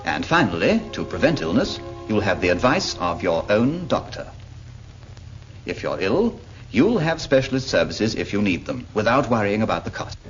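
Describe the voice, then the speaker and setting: A man's voice: on the low side, big pitch swings, normal speed. A British man narrating a 1940s public information cartoon in a patient, explanatory manner. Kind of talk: 1940s scripted film narration, addressing a character. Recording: dull 1940s film soundtrack with music.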